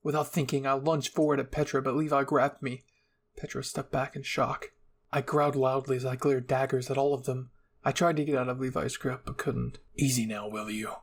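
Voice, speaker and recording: A man narrates a fan story in the first person. His voice is mid-pitched with a gravelly, husky grain, and he reads it dramatically. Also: level tone, fairly quick. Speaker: fan fiction reader.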